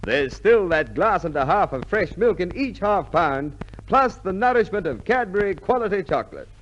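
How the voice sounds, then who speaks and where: A man's voice: high voice, sing-song, normal speed. A man reading a scripted 1950s radio advertisement as commercial announcer. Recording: dull vintage radio recording, faint noise.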